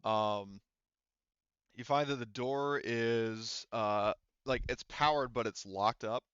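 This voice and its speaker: A man acting as game master of a tabletop roleplaying game, improvising in a halting way and thinking aloud. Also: mid-range voice, fairly bright tone, smooth, no room sound, highs cut off.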